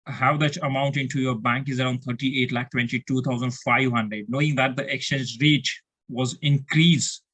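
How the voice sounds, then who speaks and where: A man's voice: mid-range voice, fairly bright tone, low-quality recording. A man with an Indian accent giving a step-by-step tutorial as an accounting instructor, asking questions and then answering them, often repeating himself.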